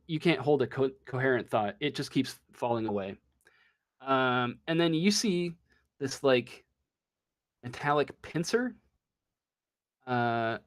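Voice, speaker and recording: A man working as game master, improvising a tabletop role-playing story in a halting, drawn-out way. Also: a little high.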